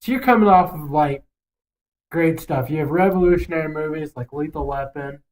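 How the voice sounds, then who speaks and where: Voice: mid-range voice, brisk, a little mellow, rough recording. A young adult podcast co-host giving opinions in casual banter, swearing freely and rambling emphatically.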